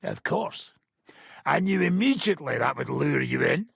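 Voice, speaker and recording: Raspy British swaggering swordsman. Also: fairly high pitch, lively intonation, moderate pace, no room sound, studio quality, full character voice. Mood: instructing, teasing. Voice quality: gravelly, raspy. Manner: swaggering, rough, teasing. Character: boisterous hard-drinking male mercenary swordsman, cocky and crude. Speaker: combat trainer. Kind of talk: video game character dialogue.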